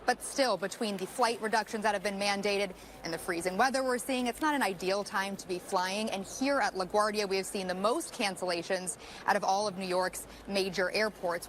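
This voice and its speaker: A woman's voice: medium pitch, a bit bright. An American woman giving a live television news report as a field reporter on location at an airport. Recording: faint location background noise.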